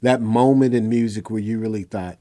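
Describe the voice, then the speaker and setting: A man hosting a casual interview, asking questions in an expressive, conversational way and acting out imagined quotes. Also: fairly low pitch, a little fast.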